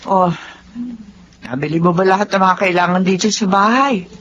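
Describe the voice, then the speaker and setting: A woman's voice: mid-range voice, moderate pace, fairly bright tone, close to an everyday voice. A woman playing a concerned, disapproving mother in a Filipino radio drama, with a Filipino accent. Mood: motherly. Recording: dull, slightly noisy lo-fi recording.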